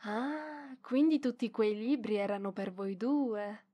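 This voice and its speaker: A woman's voice: a little high, slow pace, muffled recording. A woman voicing a proud young librarian in an ASMR roleplay, defensive and bashful, with hesitant stammering. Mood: awkward, kind. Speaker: voice actress.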